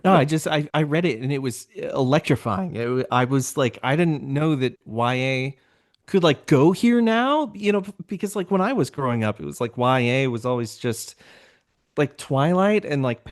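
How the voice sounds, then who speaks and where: A man's voice: mid-range voice, animated, moderate pace. A man, a podcast host, gushing with enthusiasm on a video-call panel. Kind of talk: casual panel conversation.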